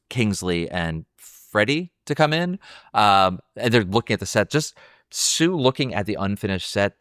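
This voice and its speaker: A man's voice: on the low side, fairly bright tone, clear, resonant tone, no room sound, studio quality. A man co-hosting a film podcast, casually analysing scenes as he thinks aloud. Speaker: podcast co-host discussing a film.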